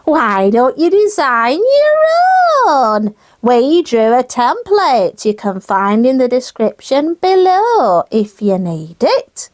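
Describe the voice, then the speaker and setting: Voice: high-pitched, heavily acted. A performer voicing a cheerful young puppet news co-host with a foreign accent, playful in a scripted children's show. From Caracas, Venezuela.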